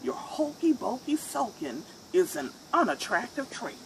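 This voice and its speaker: A woman voicing a frank male octopus in a picture book, firm and raised in pitch. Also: very animated, a little slow, a little hiss or hum. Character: straight-talking male octopus.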